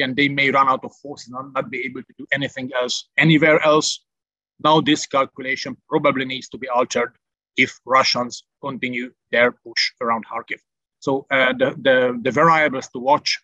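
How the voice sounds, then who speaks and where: A man's voice: medium pitch, pushed and bright, dry recording. A man from Hungary with a Hungarian accent gives a methodical military briefing over a video call, with frequent filler sounds. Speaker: military analyst. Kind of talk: briefing over a shared map. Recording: dull lo-fi online call audio.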